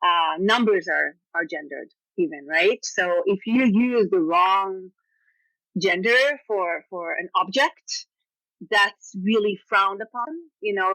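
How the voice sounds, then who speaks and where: A woman with an Israeli accent, Hebrew her first language, spins animated anecdotes on a conversational podcast. Her voice sits bright and forward. It is recorded close and dry, with almost no room sound. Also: medium pitch, measured pace, no room sound, rough recording. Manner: animated storytelling with frequent "you know" and laughs. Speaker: guest author. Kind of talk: casual podcast interview.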